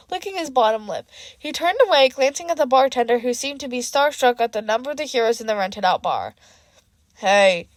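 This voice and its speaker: A woman's voice: on the high side, no echo. A woman reading a scripted story aloud as an amateur-style narrator, now and then correcting herself. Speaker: audiobook narrator of fan fiction.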